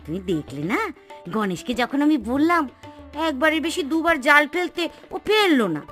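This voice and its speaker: A woman's voice: high-pitched, sing-song, normal speed, fairly bright tone, soft background music. A woman playing a greedy, scheming, impatient village aunt in a Bengali cartoon, with theatrical, exclamatory delivery. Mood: scheming, irritated. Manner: exclamatory, theatrical, coaxing and scolding. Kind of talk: Bengali cartoon moral-story acting.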